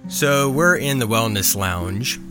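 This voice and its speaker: A man's voice: medium pitch, animated, takes it slowly, over music. A man asking questions as the interviewer in a casual, conversational style.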